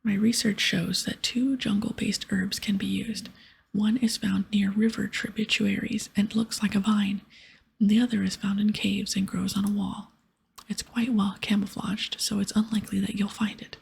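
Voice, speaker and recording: A woman voicing a scheming, self-justifying male wizard from a fantasy game in a breathy whisper. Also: medium pitch, little pitch movement, moderate pace, slight background noise, poor audio. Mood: explaining. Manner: pleading, then dryly explanatory.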